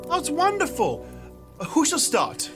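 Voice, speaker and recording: A man playing a cheerful, kind-hearted young gentleman with a merry, earnest delivery in a radio drama. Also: very high-pitched, huge pitch swings, a little slow, soft background music, exaggerated voice.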